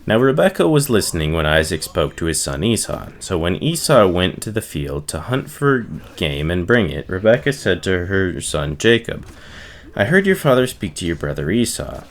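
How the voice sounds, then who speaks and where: A man reading the Bible aloud from the text, giving the dialogue expressive emphasis in his own voice. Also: sits low, normal speed. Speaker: reader.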